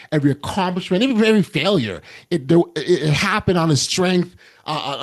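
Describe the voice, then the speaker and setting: Middle-aged male podcast host, loud and earnest, slightly higher pitch, stumbles and restarts often. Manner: earnest, many restarts and repeated words. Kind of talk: casual interview chat.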